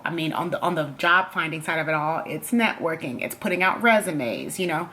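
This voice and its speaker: A woman in her thirties talks in a somewhat low-pitched voice. She is reflective and candid, chatting informally as the host of a personal review. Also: fairly bright tone. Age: 30s. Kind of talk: casual personal book review.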